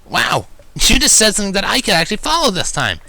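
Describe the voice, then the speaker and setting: A man's voice: high-pitched, huge pitch swings, fast, pushed and bright, pitched above the performer's own voice, exaggerated voice. A man voicing a brash, cocky young female pegasus pony with a casual, teasing manner. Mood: sarcastic.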